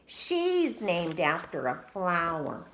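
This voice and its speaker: A woman's voice: on the low side, very animated, takes it slowly, fairly bright tone, slight background noise, heavily acted. A woman voicing a proud, scornful little schoolgirl bully in a storybook, with a snooty, pointed delivery. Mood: smug.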